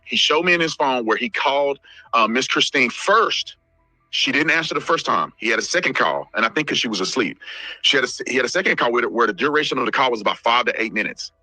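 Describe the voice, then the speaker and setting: A man's voice: mid-range voice, lively intonation, quick pace, pushed and bright, dry recording, faint music behind, low-quality recording. A man giving a detailed, methodical account of what he was told, in an explanatory style.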